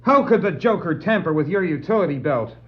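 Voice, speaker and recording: An actor playing an eager, earnest young superhero sidekick with keen, emphatic delivery on a 1960s adventure record. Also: male voice, a little high, lively intonation, fairly quick, close to an everyday voice. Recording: dull 1960s vinyl record dramatization.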